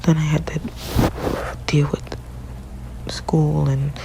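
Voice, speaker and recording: A woman whispering softly and haltingly in an ASMR partner roleplay, often restarting her sentences. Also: deep voice, flat delivery, vocal fry, noisy background.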